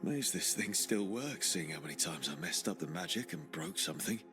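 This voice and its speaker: An actor playing a reflective, earnest young male adventurer in a video game cutscene, musing aloud with quiet determination. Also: low-pitched, lively intonation, brisk, over music.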